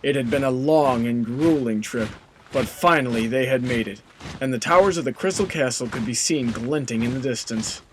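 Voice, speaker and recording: A man's voice: medium pitch, normal speed. A man reading scripted story narration as the narrator of an audiobook. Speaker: narrator, fan fiction reader. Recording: clearly noisy background ambience.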